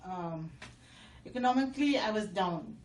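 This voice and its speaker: A middle-aged woman from Fiji speaks as an interview guest in an even, mid-pitched voice, earnest and full of ums and uhs. The room rings with echo, and a faint noise sits behind her. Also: moderate pace. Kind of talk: casual interview.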